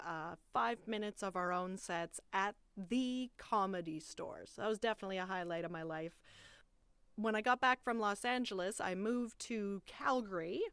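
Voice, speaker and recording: A woman's voice: medium pitch, smooth, dry recording. A young woman from Canada, a voice actor, telling her life story in a chatty, upbeat monologue. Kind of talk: personal bio monologue. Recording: dry, clean studio audio.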